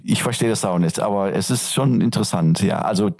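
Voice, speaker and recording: A man from Turkey speaks in a deep, low register, pausing often and restarting his sentences. The sound is dry and close, with no room around it. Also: vocal fry, darkish tone, no echo. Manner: hesitant, many fillers and restarts. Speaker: guest, teacher. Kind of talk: casual interview answers.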